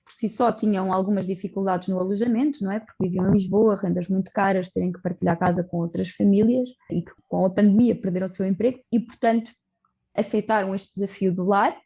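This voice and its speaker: Portuguese-accented woman guest, mellow, earnest explainer, slightly dull audio. Podcast interview. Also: mid-range voice, steady tone, fast, husky. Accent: European Portuguese. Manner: earnest, explanatory, with frequent restarts and "portanto". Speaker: social coordinator of a community project, guest.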